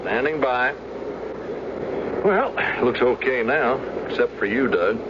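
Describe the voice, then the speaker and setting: A man's voice: mid-range voice, expressive, slow pace. An actor playing a weary, commanding airline captain in a 1970s radio drama, exasperated and clipped in his radio jargon. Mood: businesslike. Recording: noisy dull old radio broadcast, engine drone.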